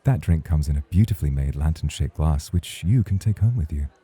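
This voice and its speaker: Very deep, bright-voiced suave host played by a man. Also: expressive, brisk, full character voice. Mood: charming. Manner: smooth, courtly, playfully provocative. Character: suave, teasing, self-assured champion nightclub host. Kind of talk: romantic ASMR roleplay.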